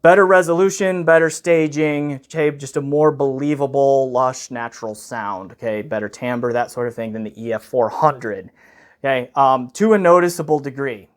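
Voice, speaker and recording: A man's voice: medium pitch, normal speed. A man giving an unscripted, explanatory audio gear review, often checking in with "okay" as he goes. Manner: explanatory, punctuated with frequent "okay" check-ins.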